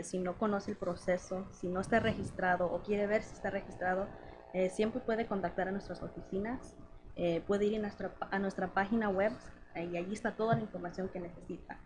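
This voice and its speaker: A woman from Georgia working for an election office, a radio guest giving steady, informative answers with small "eh" pauses. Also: medium pitch, noisy background.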